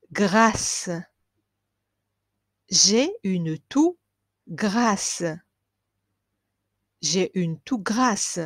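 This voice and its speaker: A woman teaching a language lesson. She repeats each phrase slowly and clearly, then explains it quickly. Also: fairly low pitch, dry recording, studio quality. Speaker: French teacher.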